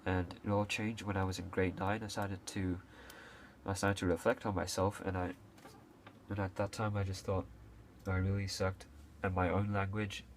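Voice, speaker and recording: A man's voice: sits low, flat delivery, normal speed, a little hiss or hum. A young man from Hong Kong talking casually to camera, with frequent fillers, restarts and a few coughs. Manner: hesitant, many fillers and restarts, occasional coughs. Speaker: video creator. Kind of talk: casual unscripted talk to camera.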